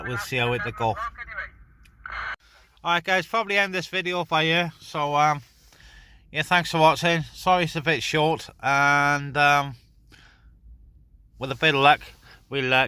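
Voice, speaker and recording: Clear background noise with radio and vehicle sounds surrounds a man's voice that sits a little higher than average. He hosts a vlog in an informal, chatty way, speaking straight to his viewers between radio calls. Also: pushed and bright. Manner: informal, addresses viewers as guys. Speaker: vlog host and CB radio operator. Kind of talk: casual vlog chat.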